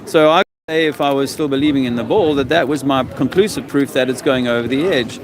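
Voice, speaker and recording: A man's voice: medium pitch, a little fast, a crowd in the background. A man with a South African accent, tired, explaining his ideas earnestly in a casual outdoor conversation on a street. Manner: earnest, explanatory, eager to make his case. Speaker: interviewee.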